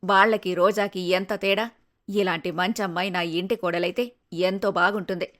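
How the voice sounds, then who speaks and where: A woman voicing a fond, scheming older mother in an animated moral story. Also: medium pitch, normal speed, natural voice. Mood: fond, musing.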